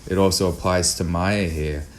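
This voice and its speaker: A man's voice: sits low, fairly even intonation, moderate pace. A young man chatting casually as a filmmaker guest, often acting out his own thoughts as quotes. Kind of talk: casual interview chat. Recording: noticeable background noise, room ambience.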